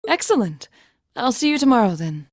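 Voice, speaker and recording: A poised, formal young student. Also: female voice, on the high side, big pitch swings, brisk, darkish tone, no room sound. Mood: composed. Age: young adult. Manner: formal, composed, courteous. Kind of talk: English anime-style game voice acting.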